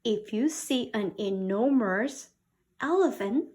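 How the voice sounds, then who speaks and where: A woman from South Korea reading a picture book to young children as a teacher, with simple, expressive talk and questions. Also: on the high side, slow pace. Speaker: children's English read-aloud teacher.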